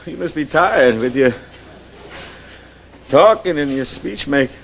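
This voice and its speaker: An actor playing a weary townsman troubled by a lynching, halting and quietly reproachful, on an old drama soundtrack. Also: medium pitch, expressive, gravelly, a little hiss or hum.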